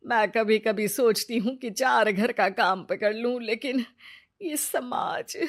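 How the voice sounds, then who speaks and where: A slow-speaking mother from a Hindi cartoon morality tale, acted by a woman. Her voice sits fairly high, and she nags in a plaintive way as the careworn head of a poor home. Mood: worried. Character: worried, scheming mother of a poor household, turning spiteful grandmother.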